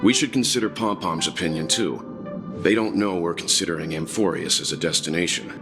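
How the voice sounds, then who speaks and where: A man voicing a calm, measured, thoughtful traveling companion in a video game. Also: sits low. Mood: calm, considered. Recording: loud game music behind.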